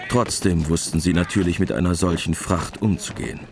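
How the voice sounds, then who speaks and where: A man's voice: sits low, steady tone, brisk, noisy background. A man narrating a scripted radio drama. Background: music.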